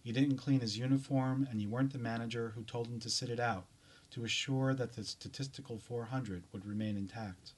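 A man's voice: mid-range voice, fairly even intonation, a little fast, slightly rough. A man reading his own short story, a scripted literary piece addressed to the listener. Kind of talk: scripted literary narration, second-person address.

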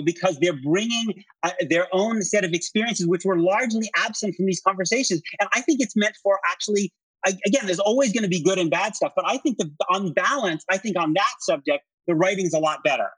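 Husky, higher-voiced middle-aged man debating politics. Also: fairly bright tone, dry recording, poor audio. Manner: insistent, halting with repeated phrases when pressing a point. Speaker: interview guest, political writer.

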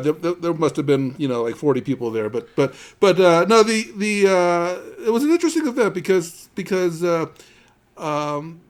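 A man hosting a conversational tech news podcast, often stumbling and repeating the starts of his words. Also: a little high. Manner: halting, with repeated word starts. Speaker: podcast host.

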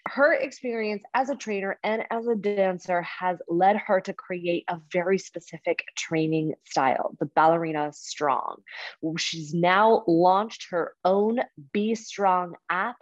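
A woman's voice: lowish voice, measured pace, no room sound, muffled recording. A woman hosting an interview podcast, reading a scripted introduction and then chatting with enthusiasm. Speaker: podcast host. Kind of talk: upbeat interview chat.

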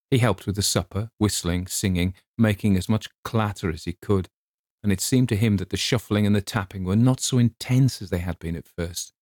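A man's voice: low-pitched, darkish tone, no room sound. A man reading scripted story narration as an audiobook narrator, recorded close.

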